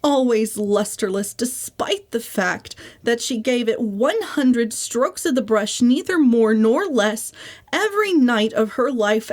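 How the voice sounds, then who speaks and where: A woman narrating a literary story as a dramatic reading, with expressive, deliberate, theatrical phrasing. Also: a little high. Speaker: audiobook narrator.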